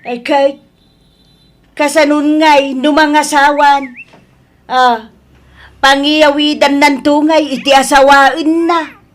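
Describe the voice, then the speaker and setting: Slow-talking, emotional elderly Filipino mother. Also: high-pitched, little pitch movement. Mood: insistent. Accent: Filipino, Ilocano. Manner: drawn-out, emphatic, emotional delivery. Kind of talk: melodramatic radio drama acting.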